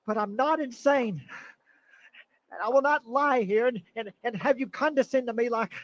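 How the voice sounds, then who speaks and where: An actor playing a nervous, paranoid middle-aged man who stammers and breaks into shrill outbursts, in an old-time radio drama style. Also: animated, measured pace, darkish tone, slightly rough, dry recording. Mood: defensive. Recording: dull lo-fi recording.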